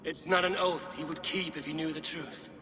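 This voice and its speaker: An actor playing a resolute, honorable Viking warrior leader in a video game, commanding, measured and blunt. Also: male voice, on the high side, fairly even intonation, music in the background, lightly acted. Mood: earnest, grave. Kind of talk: dramatic video game acting. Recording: clear ambient background noise.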